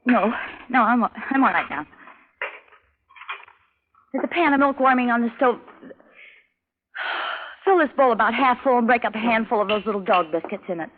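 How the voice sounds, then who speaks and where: Casting: actress as nervous, placating young wife for radio drama, high voice, noisy narrow vintage recording. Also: female voice, animated, normal speed, pauses often, lightly acted. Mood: dutiful, nervous. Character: anxious, meek young wife, overworked and frayed. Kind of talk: 1940s radio drama acting.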